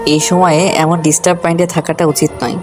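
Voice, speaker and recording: A woman voicing a gentle, worried young husband, soft-spoken and pleading, in a romantic audio story. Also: sits low, little pitch movement, quick pace, music in the background, voice pushed down, lightly acted.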